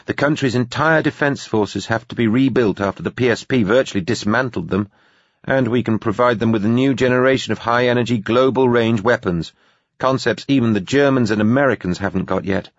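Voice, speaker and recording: A man's voice: medium pitch, a little fast, no echo, lo-fi sound, voice pushed up, lightly acted. A man voicing a spirited, protective young granddaughter and heiress in an audiobook, insistent and tart. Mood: confident.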